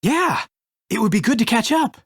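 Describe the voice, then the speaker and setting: Earnest kinda awkward young detective guy, game voice, pitch up and down, dry. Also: very animated, normal speed, fairly bright tone, slightly rough, dry recording, full character voice. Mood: eager, awkward. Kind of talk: video game voice acting.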